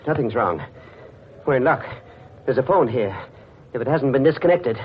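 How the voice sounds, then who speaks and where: An actor playing an urgent, persuasive and scheming doctor in a theatrical old-time radio thriller. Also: male voice, mid-range voice, animated, normal speed, noisy background, close to an everyday voice. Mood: controlled urgency. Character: insistent, scheming male doctor urging a frightened woman along. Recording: muffled vintage radio drama recording with music.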